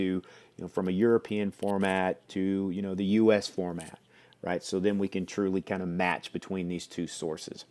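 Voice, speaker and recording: A man presenting a software demo, explaining step by step in a conversational way. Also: lowish voice. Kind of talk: explanatory product demo walkthrough.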